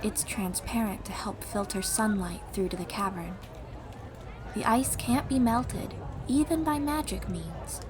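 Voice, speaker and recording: A woman playing an elven ice sorceress, a gracious, formal and gently proud guide speaking unhurriedly to the listener in an audio roleplay. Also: mid-range voice, unhurried, a crowd in the background, background noise, lightly acted. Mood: proud, welcoming. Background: music.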